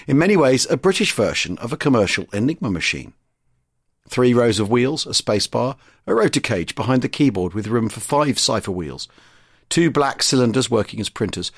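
A man narrates history from a script in a mid-pitched, husky voice. His explanatory delivery is dramatic, with big swings in intonation. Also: no echo, clean studio sound. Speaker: podcast narrator.

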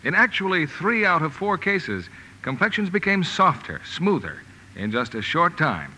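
A man's voice: on the high side, sing-song, moderate pace, sharp tone, a little hiss or hum. A man announcing scripted 1950s radio advertising with an emphatic sales delivery. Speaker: commercial announcer. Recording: vintage radio broadcast, hall reverb.

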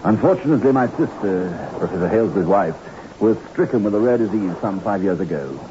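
A man acting an earnest country doctor in an old radio drama. His voice is low and swings expressively as he presses his case in formal, insistent speech. The recording is muffled and heavy with noise. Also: lively intonation, brisk, lightly acted. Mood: grave, pleading. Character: earnest, persuasive male country doctor with a secret.

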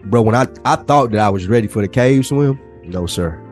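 A man in his thirties co-hosting a podcast, telling stories in a casual, animated way with lots of casual swearing. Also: fairly low pitch, fairly quick, soft background music. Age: 30s. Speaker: podcast co-host. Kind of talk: casual chat, storytelling.

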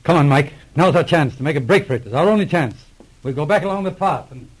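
An actor playing a brisk, commanding Mountie sergeant with a husky voice in a 1940s radio adventure. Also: male voice, fairly high pitch, animated, low-quality recording. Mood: urgent, commanding. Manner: decisive, exclamatory. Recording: old 1940s radio recording.

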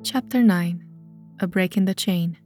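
A woman's voice: lowish voice, unhurried, dark tone. A woman reading scripted narration for an audio drama as its narrator. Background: music.

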